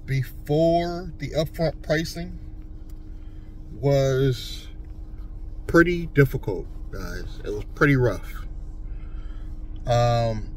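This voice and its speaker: A man from Connecticut rambles casually to his viewers about driving for a rideshare service, circling back on himself with fillers and restarts. His voice sits at an ordinary middle pitch. A faint hum of background noise runs under the recording. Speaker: rideshare driver and channel host. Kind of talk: casual vlog talk.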